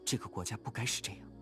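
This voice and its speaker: A man voicing a hardy, easygoing young idealist in a video game, with a casual, frank way of talking. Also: lightly acted. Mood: earnest. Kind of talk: video game character dialogue.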